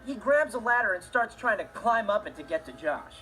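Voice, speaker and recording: A man's voice: sits high, sing-song, fairly quick, pushed and bright, a little hiss or hum, exaggerated voice, poor audio. A young male actor playing a breezy teenage stepbrother, telling a comic tale with wry delivery in a TV sitcom. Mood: wryly amused. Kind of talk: scripted comic storytelling in a TV sitcom. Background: music and laughter.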